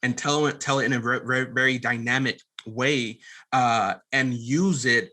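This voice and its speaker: A man's voice: mid-range voice, steady tone, moderate pace, sharp tone. A man talking as a podcast guest in a casual storytelling style, earnest and rambling a little. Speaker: podcast guest, filmmaker and former pastor.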